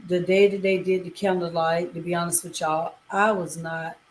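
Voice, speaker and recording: A middle-aged woman chats casually as a storytime vlogger. Her voice is lowish and quite even, at an unhurried pace. The recording is echoey with some background noise. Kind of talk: personal storytelling to camera, casual chat. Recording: roomy echo with background noise.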